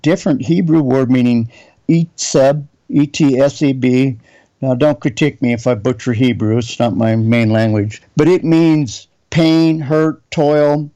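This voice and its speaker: Male preacher, warm dark tone, teaching and reading scripture; slightly dull lo-fi recording. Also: mid-range voice. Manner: reads scripture aloud, spells out Hebrew words. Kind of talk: Bible teaching sermon.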